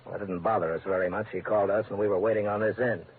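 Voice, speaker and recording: A tough, heavy-set American government agent played by a male actor in an old radio crime drama. He talks in a blunt, matter-of-fact, businesslike manner with a fairly low voice. The sound is narrow, with faint noise. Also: fairly even intonation, racing, natural voice. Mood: brisk explaining. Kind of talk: 1940s-50s radio crime drama acting.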